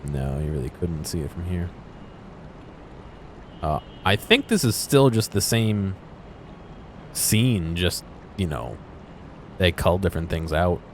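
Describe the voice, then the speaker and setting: A man with a low voice talks casually through a video game, thinking aloud in an unscripted way. Noticeable background noise sits behind him. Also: expressive, slow pace, long pauses. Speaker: gameplay video host.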